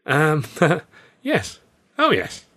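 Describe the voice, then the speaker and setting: A man's voice: on the high side, huge pitch swings, very slow, fairly bright tone, husky, voice pushed up, full character voice. A man voicing an anxious, deferential comedian looking for work, hesitant and eager to please, in a storybook reading. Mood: flustered, bluffing. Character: anxious, deferential male music-hall comedian seeking work.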